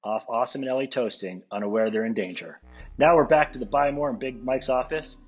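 A man narrating stage directions from a script at a table read, with a very husky voice. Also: mid-range voice, fairly quick. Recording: dull lo-fi audio, music behind.

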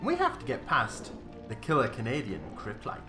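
A man with a British accent hosting a multiplayer gaming session, talking excitably over voice chat. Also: mid-range voice, normal speed, over music. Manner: excitable, swearing. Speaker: host.

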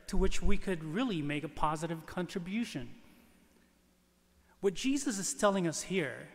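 Male priest, forties, fairly high voice, deliberate homily delivery, long church echo. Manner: measured, deliberate, with pauses. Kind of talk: scripted homily read from the pulpit. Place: large church, indoors. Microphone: lectern microphone.

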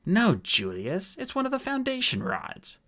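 A man's voice: high-pitched, huge pitch swings, unhurried, sharp tone, pitched above the performer's own voice, exaggerated voice. A man voicing a sulky, cheeky dwarf convict from an audiobook, with slangy, flippant wheedling. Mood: unrepentant.

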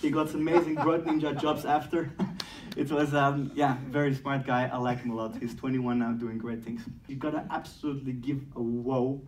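A man in his thirties from Belgium with a Belgian French accent, giving a playful, energetic startup talk on stage and acting out dialogues. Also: medium pitch, normal speed, a little hiss or hum. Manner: playful, acts out dialogues, laughs at own jokes. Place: large dark event room on a stage. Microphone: distant mic, speaker far from camera. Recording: roomy sound from a distant camera.

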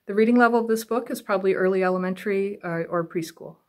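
A woman's voice: medium pitch, fast. A middle-aged woman reading a picture book aloud to young children at home, expressively and with questions for the listener. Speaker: library director reading storytime. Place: small room at home.

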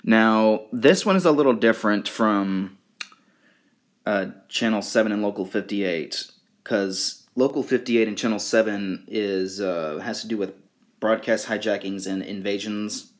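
A man talking casually and a little haltingly, presenting items in an informal show-and-tell vlog. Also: fairly low pitch. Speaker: video creator showing fan merchandise.

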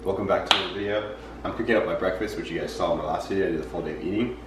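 Low-voiced young man vlogging casually. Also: rapid-fire, very noisy. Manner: laid-back, rambling, low-key. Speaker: fitness vlogger. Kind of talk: casual vlog narration. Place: apartment kitchen and parked car. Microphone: handheld camera held close.

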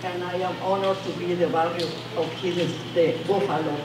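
A woman's voice: fairly low pitch, steady tone, fairly quick. An older woman from Chiapas, Mexico, with a Mexican Spanish accent, speaking earnest, simple English as an artist at an exhibition. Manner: simple, earnest phrasing in non-native English. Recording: echoey gallery from a distance, noisy.

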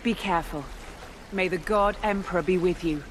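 A woman's voice: on the low side, normal speed, a little hiss or hum, natural voice. A woman playing a formal, loyal lady knight. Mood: solemn. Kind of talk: dramatic video game cutscene acting.

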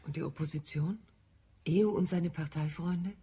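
Eine Schauspielerin spielt in einem deutschen Hörspiel eine nachdenkliche, zärtliche Marsianerin mit sanfter, bedächtiger Sprechweise. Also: female voice, sits low, normal speed, dark tone, breathy edge. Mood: concerned. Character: thoughtful, tender Martian woman. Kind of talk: German radio play acting.

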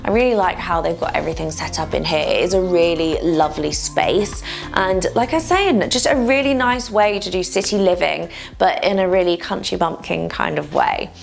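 A woman's voice: lowish voice, moderate pace, gravelly, soft background music. A young woman presenting a casual walk-through house tour on a lapel mic, gushing with enthusiasm and calling nearly everything wonderful. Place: small cottage rooms and brick courtyard.

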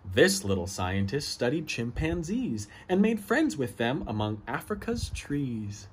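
Animated man reading to children. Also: fairly high pitch, slight background noise. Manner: expressive, encouraging, exclaims and gasps between pages. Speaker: children's book reader, host. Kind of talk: read-aloud of a children's picture book. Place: outdoor porch of a house.

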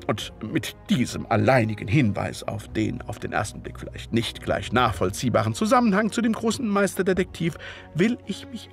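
A man playing a wordy, genteel Victorian gentleman chronicler in an audio drama, formal and politely hesitant as he narrates. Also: fairly high pitch, vocal fry.

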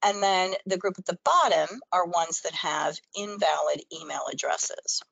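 A woman's voice: lowish voice, measured pace, gravelly, fairly bright tone, no echo. A woman presenting a training webinar, explaining things step by step in an instructional screen-share style.

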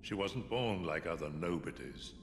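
An actor playing a sinister, pompous older man in a video game cutscene, speaking with slow deliberation. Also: low-pitched, expressive. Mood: smug, secretive. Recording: echoing hall reverb, faint noise.

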